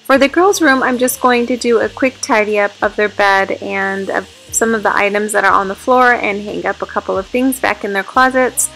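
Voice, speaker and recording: A chatty, upbeat woman in her thirties talks straight to her viewers about her home and family. Her mid-range voice has a gravelly, fry-tinged edge, and faint music plays underneath. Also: mid-range voice, fairly even intonation, moderate pace, few pauses, a bit bright, low-quality recording. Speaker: home vlogger. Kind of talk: casual vlog narration. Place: family home, bedrooms and kitchen.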